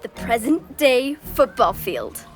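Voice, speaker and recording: An actress playing an eager teenage girl who is obsessed with history research and talks in an excited, insistent way. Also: fairly high pitch, slow pace, faint music behind. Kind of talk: audio drama acting.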